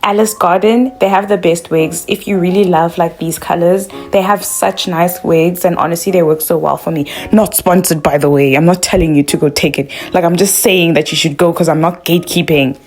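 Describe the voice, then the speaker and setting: A woman's voice: on the low side, steady tone, fairly quick, few pauses, a little mellow, soft background music. A young woman from South Africa, a makeup vlogger talking through a tutorial in a bubbly, chatty way, full of "like" fillers.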